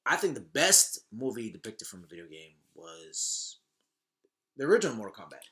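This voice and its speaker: A man hosting a podcast in casual chat, talking in a slangy, halting way and often repeating himself. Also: fairly low pitch, sing-song, bright, forceful tone. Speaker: podcast host.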